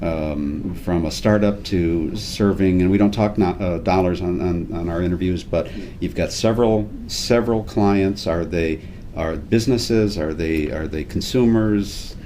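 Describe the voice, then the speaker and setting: Chatty low-voiced male host. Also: measured pace, warm tone, slight background noise. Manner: chatty, repeats and restarts words. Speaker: interview host. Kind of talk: casual interview.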